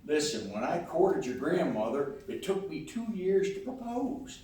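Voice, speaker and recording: A man performs a genial old grandfather, folksy and stubborn, in a live radio play. His voice sits fairly high and moves around a lot, heard from a distance in a very reverberant room. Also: sing-song, warm tone, a little hiss or hum. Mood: tender, wistful. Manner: folksy, plainspoken, unhurried. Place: live stage performance in a large echoey room. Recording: very roomy, distant live hall recording.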